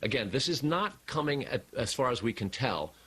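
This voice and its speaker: A man with an American accent reporting live as a television news correspondent, deliberate and emphatic. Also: mid-range voice, sing-song, a bit bright, husky.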